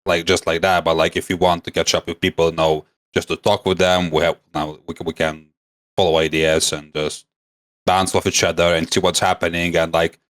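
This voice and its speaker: A man's voice: low-pitched, little pitch movement, a little fast, a bit bright. A man with a mixed Lithuanian English accent, chatting casually as a podcast guest and rambling with fillers. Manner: rambling, many fillers and restarts. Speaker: guest, streamer.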